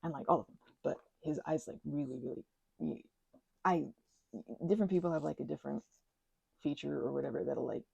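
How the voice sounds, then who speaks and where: A woman hosting an ASMR channel, rambling in a chatty, giggly way with lots of fillers and a breathy voice that slips into whispers. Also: deep voice, lively intonation, fairly quick, mellow, dry recording, dull audio. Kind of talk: soft-spoken rambling chat.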